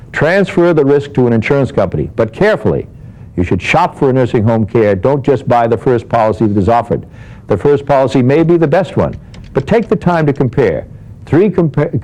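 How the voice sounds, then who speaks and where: Mellow man reading practical advice. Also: medium pitch, poor audio. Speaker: financial advice segment presenter. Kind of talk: scripted advisory narration.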